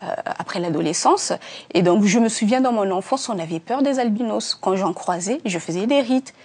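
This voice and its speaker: A woman's voice: mid-range voice, expressive, normal speed, a little mellow, husky. Earnest, hesitant woman author. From Africa. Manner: earnest, many fillers and restarts. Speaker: author, interview guest. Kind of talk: hesitant conversational interview answers.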